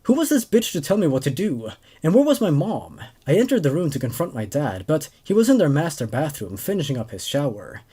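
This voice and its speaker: A man in his twenties hosts horror story readings. His voice sits a little high and swings widely in pitch, with a mellow tone. He talks casually as he narrates and comments. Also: very animated, fairly quick, few pauses, breathy edge. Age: 20s. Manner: hesitant, repeats words when commenting. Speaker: host and narrator of horror stories.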